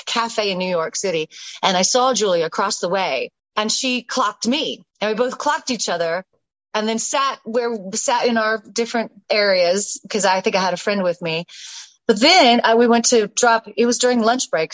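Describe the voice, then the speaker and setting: A woman with a mixed Southern American and British accent, telling stories as a podcast guest in an animated way, laughing often. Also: mid-range voice, no echo, poor audio. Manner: animated, laughing, many restarts and asides. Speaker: interview guest, stage actress and singer. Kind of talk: casual storytelling in a podcast interview.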